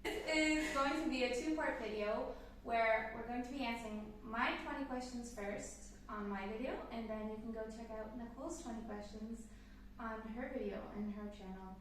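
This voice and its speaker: A woman's voice: on the high side, normal speed, heavy reverb, far from the mic, slight background noise, poor audio. A woman in her twenties, from Canada, hosting a casual sit-down Q&A chat, upbeat and rambling with fillers. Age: 20s. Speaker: channel host.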